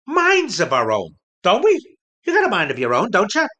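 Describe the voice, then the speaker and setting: An aging ventriloquist's dummy full of grievances in a comic audio drama. The voice is bright and forceful, swinging up and down as he complains emphatically and circles back on his own phrases. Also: high-pitched, huge pitch swings, normal speed, exaggerated voice. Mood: griping. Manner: emphatic, griping, repeats himself. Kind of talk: comic radio play acting.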